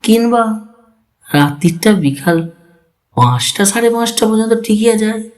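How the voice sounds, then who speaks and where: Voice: mid-range voice, expressive, a little slow, lightly acted. An actor playing a restless, romantic young husband with theatrical, formal delivery in an audio story. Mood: playful. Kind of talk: dramatised literary audio story.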